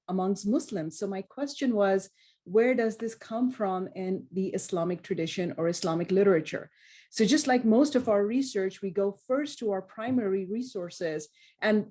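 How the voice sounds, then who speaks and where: A woman's voice: medium pitch, mellow. A woman scholar giving an explanatory academic talk on a panel, often restarting her phrases. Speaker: scholar of Islamic studies, panelist.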